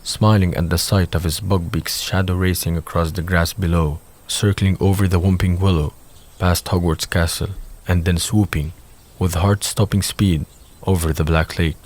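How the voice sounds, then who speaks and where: A man's voice: low-pitched, fairly even intonation, moderate pace. A man reading scripted narration for an audio drama.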